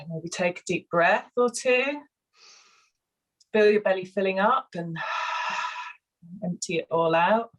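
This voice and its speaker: A soft-spoken woman with a slightly croaky voice, co-facilitating a video call, guiding a relaxation and sharing with hesitant pauses and fillers. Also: mid-range voice, rough recording. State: hoarse, croaky. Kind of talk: guided relaxation and casual sharing.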